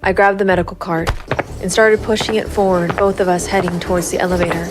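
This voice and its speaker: A woman's voice: on the low side, fairly even intonation, fast talker, over music, lightly acted. A woman playing a cold, composed vigilante investigator, narrating a gritty noir crime audio drama in a controlled, stern way. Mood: firm, guarded. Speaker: narrator and lead character.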